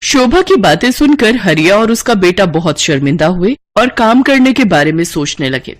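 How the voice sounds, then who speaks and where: A woman's voice: mid-range voice, big pitch swings, normal speed. A woman reading scripted narration for a moral tale.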